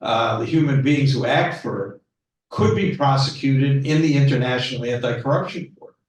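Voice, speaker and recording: Measured man judge, distant and echoey. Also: mid-range voice. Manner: measured, self-correcting. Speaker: retired judge on the panel. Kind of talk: panel discussion answer. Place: law school lecture room. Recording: echoey room, far from the mic.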